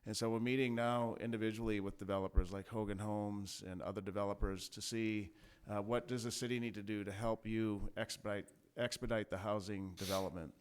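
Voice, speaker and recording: A man from city staff briefing a city council, measured and full of small pauses and restarts. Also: on the low side, steady tone, clear, resonant tone. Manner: measured, many fillers and restarts. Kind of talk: formal briefing. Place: council chamber.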